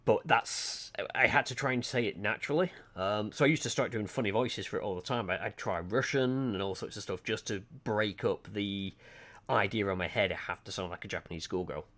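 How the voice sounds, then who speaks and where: A man's voice: lowish voice, brisk, fairly bright tone. A man from Lancashire with a Lancashire accent, chatting casually and hesitantly to viewers on a livestream, full of ums. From Lancashire, England. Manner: rambling, chatty, self-deprecating, hesitant with frequent ums. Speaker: art livestreamer. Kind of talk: casual chat to stream viewers. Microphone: desk mic, webcam off.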